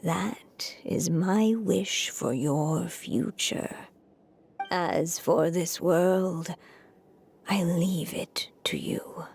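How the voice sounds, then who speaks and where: Game role: tender, earnest maternal storyteller, lowish soft voice, extremely unhurried delivery. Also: female voice, creaky, slight background noise, heavily acted. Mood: tender, wistful. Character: gentle, tender dying storyteller mother figure. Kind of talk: dramatic game voice acting.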